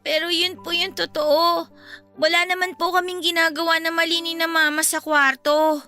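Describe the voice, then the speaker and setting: Frightened young girl, radio drama. Very high, bright voice, narrow melody, polite and pleading. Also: level tone, normal speed, soft background music. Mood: guarded, defensive.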